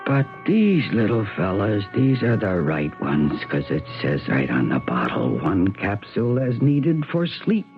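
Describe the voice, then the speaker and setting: An actress playing a despairing, self-mocking American wife and mother in a rambling radio drama monologue, with a low voice. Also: big pitch swings, unhurried, few pauses, faint music behind, full character voice. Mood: wry, muddled. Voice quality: low-pitched voice. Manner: rambling, wry, self-interrupting. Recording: tinny, band-limited 1970s radio recording.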